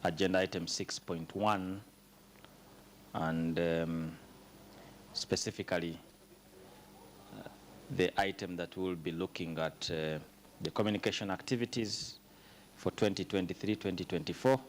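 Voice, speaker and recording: A man's voice: low-pitched, fairly even intonation, a little slow, pauses often, slight background noise. A man chairing a formal committee meeting, speaking formally with many ums and uhs.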